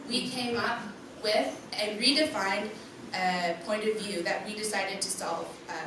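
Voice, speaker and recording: Young woman, student presenter, fairly high voice, clear rehearsed delivery; distant mic in a roomy hall. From USA. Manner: clear rehearsed delivery, speaks straight to the audience. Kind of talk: project presentation to a live audience. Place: darkened presentation room with an audience. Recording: echoey room from far off, background noise.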